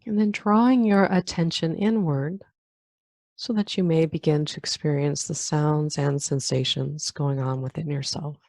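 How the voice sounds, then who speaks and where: A woman leading a guided meditation in a calm, unhurried manner, leaving long pauses between phrases. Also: sits low, creaky, no echo, studio quality. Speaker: meditation guide, yoga nidra teacher. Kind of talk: guided meditation, body scan.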